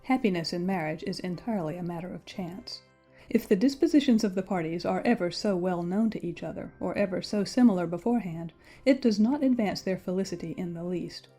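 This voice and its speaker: A woman playing a pragmatic, worldly young gentlewoman in an audiobook drama, advising in measured, matter-of-fact period diction. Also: on the low side, soft background music, lightly acted. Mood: frank, resigned.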